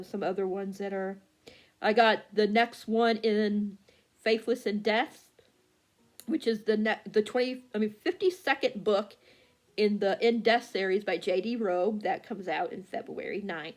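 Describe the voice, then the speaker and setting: A woman talks as a book vlogger in a casual, rambling way, at a middling pitch. She is halting and scattered, often doubling back to correct herself. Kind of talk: casual rambling chat about books.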